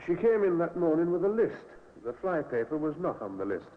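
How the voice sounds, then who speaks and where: A man's voice: on the high side, big pitch swings, brisk. A man acting a formal, matter-of-fact Victorian expert witness in a 1950s radio drama, reporting in clipped sentences. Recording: thin, phone-like old radio recording.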